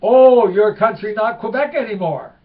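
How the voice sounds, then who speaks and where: A man playing a bewildered Norwegian tourist, clumsy English, slightly high voice. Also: normal speed, phone-quality sound, natural voice. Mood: puzzled. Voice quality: broken English.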